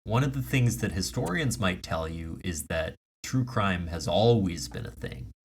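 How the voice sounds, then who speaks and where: A man's voice: low-pitched, lively intonation, moderate pace, a little hiss or hum. A man co-hosting a comedy podcast, chatting in casual banter. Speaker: podcast co-host.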